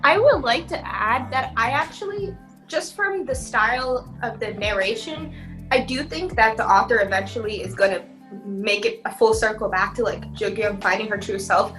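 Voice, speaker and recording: A woman's voice: on the high side, normal speed, a bit bright, soft background music. A young woman co-hosting a podcast in a casual, informal and tentative conversational way. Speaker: podcast co-host.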